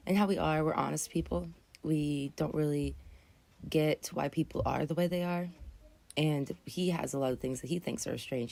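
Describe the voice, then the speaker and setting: Raspy just-woken woman, low gravelly voice. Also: dark tone. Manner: rambling, self-correcting, earnest. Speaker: livestream host. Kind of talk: casual live chat.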